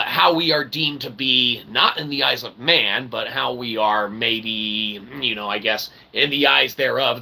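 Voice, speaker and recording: A man giving a rambling philosophical monologue as a channel host, with a gravelly voice and wordy, mock-formal phrasing broken by folksy, emphatic check-ins. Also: medium pitch, bright, forceful tone, rough recording. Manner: emphatic, often checking in with "okay" and "right". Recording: clear background ambient noise.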